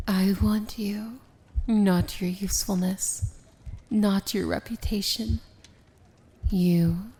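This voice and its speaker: A powerful dark fantasy princess, voiced by a woman, speaks very slowly in a mellow, creaky voice. She is tender and solemn, with formal, poetic phrasing that often slips into a whisper, in the style of romantic ASMR roleplay. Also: mid-range voice.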